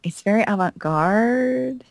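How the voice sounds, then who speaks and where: A woman co-hosts a gaming video with very lively, swinging intonation and a dry, close sound. She acts out dialogue playfully, with a teasing, giggly edge. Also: a little high, slow pace, gravelly, dry recording. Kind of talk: playful acted reading of visual novel dialogue.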